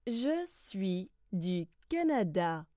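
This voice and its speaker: Female language-lesson reader, extremely slow, careful enunciation, close dry sound. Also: medium pitch, sing-song, mellow, no echo. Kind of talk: slow scripted phrase reading.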